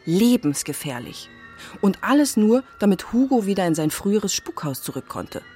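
A woman's voice: mid-range voice, big pitch swings, normal speed, mellow, husky. A woman playing a nosy neighbour who narrates a children's radio play in a confiding, suspenseful way. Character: nosy gossipy neighbour woman telling the story. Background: faint music.

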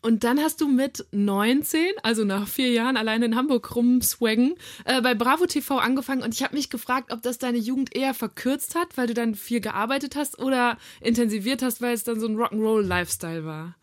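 A woman hosting an interview, asking relaxed, curious questions with some read narration. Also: a little high, a little fast, hardly any pauses, no echo, clean studio sound. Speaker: podcast host.

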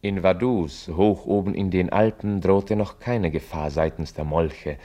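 An actor playing a sober chronicler, narrating a radio play in a formal, deliberate, deadpan way. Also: male voice, low-pitched, soft background music. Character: sober male chronicler of an absurd future war.

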